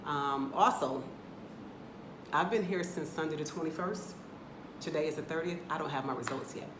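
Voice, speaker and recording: A woman's voice: sits low, moderate pace, echoey room, background noise. A woman from the Atlanta area with an American accent, chatting candidly in a casual travel vlog from her rental apartment. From United States, Atlanta area. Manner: chatty, candid, talks in loose run-on asides. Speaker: travel vlogger.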